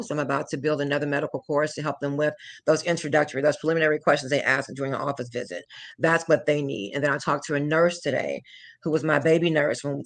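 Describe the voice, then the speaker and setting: Woman from houston, forties, bright voice, animated and chatty, laughs a lot. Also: deep voice. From Houston, Texas. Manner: animated, chatty, laughs often, switches into French. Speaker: guest, language teacher. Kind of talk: casual interview chat.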